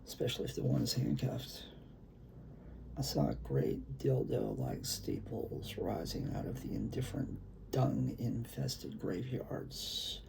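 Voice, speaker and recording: A man performs spoken-word poetry in a very gravelly voice with a dark, mellow tone. He takes his time over the phrases and leans on key words for dramatic weight. There is slight noise behind him on the recording. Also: medium pitch, sing-song, takes it slowly, low-quality recording. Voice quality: gravelly, creaky. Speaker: poet reading own work. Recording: faint background room noise.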